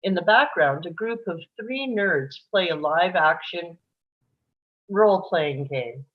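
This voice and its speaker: A woman's voice: fairly low pitch, lively intonation, slow pace, narrow, tinny audio. A woman reading stage directions as the narrator of a live screenplay table read.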